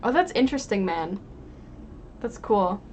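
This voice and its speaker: A woman's voice: mid-range voice, sing-song, unhurried, darkish tone, slight background noise. A woman livestreaming in a casual, informal way, rambling and thinking aloud as she chats with viewers. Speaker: live streamer drawing digital art and chatting with viewers.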